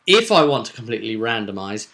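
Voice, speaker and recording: A man's voice: fairly low pitch, sing-song, fast talker, bright, forceful tone. A man hosting a vidcast as game master, chatting casually and animatedly to viewers as he rambles through a roleplay session recap.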